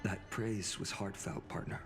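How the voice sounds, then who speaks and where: A man's voice: fairly low pitch, fairly even intonation, lightly acted. Gallant young hero in long reverb male actor. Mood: sincere, teasing. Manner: measured, sincere, courteous. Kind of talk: video game voice acting. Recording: long reverb, game music behind.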